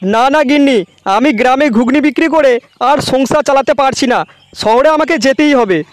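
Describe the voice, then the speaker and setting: A man voicing a quick-tempered village snack seller in a comedy cartoon. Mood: earnest. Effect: pitch-shifted cartoon voice.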